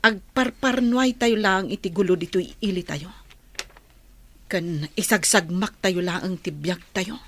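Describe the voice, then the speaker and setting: A woman's voice: on the low side, unhurried, a bit bright. A woman with an Ilocano accent playing a grave, cautioning older-sister rebel in a radio drama, earnest and admonishing. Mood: grave, worried.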